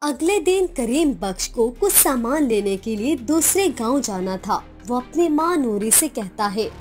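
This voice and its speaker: High-voiced female cartoon story narrator. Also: big pitch swings, measured pace, a little mellow, faint music behind.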